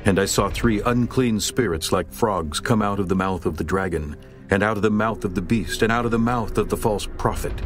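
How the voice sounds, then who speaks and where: A man narrating scripture in a slow, solemn, stately reading over music. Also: lowish voice.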